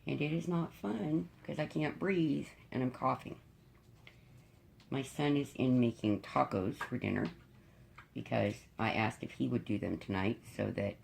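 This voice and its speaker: Raspy, congested woman with a cold. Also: extremely low pitch, a little mellow, a little hiss or hum. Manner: chatty, rambling, frank. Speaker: diamond painting YouTuber. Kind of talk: casual chat to viewers. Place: at home.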